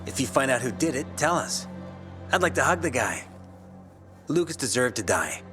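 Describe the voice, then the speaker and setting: An actor playing a hot-headed, vengeful thug in a video game, threatening and sneering. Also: male voice, mid-range voice, sing-song, moderate pace, over music, close to an everyday voice. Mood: bitter, sneering. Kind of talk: video game dialogue acting.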